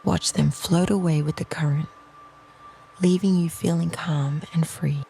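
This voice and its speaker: Gravelly, soft-spoken meditation guide. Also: medium pitch, steady tone, a little hiss or hum. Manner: slow, soft, soothing, breathy near-whisper phrasing.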